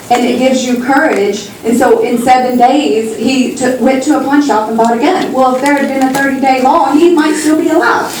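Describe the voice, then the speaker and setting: A middle-aged woman making halting, exasperated, unscripted personal remarks at a public meeting. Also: fairly high pitch, a little mellow. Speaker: audience member speaking at a public meeting. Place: meeting hall, public town-hall event. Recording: very echoey hall, distant mic, faint noise.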